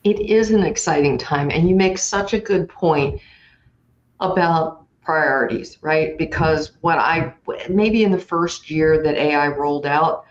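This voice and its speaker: A woman's voice: low-pitched, unhurried, muffled recording. A woman hosting a conversational interview, affirming her guest and checking in as she makes her points. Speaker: podcast host, interviewer.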